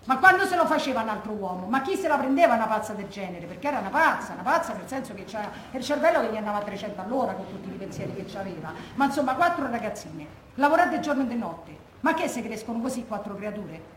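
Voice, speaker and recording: Actress as Roman widow's daughter, echoey room. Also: female voice, fairly high pitch, lively intonation, quick pace, few pauses, lightly acted. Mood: indignant. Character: indignant, grieving daughter of a Roman war widow. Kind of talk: theatrical testimony monologue, colloquial storytelling. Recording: echoey room, faint background noise.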